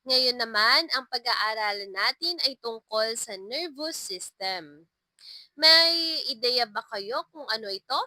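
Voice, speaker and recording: A woman's voice: a little high, takes it slowly, pushed and bright, no room sound, exaggerated voice. A woman voicing a kind, encouraging female elementary school teacher in a narrated story.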